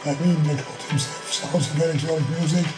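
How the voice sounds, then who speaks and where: A man co-hosting an online radio show who is losing his voice, speaking haltingly and apologizing for how he sounds. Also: on the high side, fairly even intonation, over music. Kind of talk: casual spoken recollection.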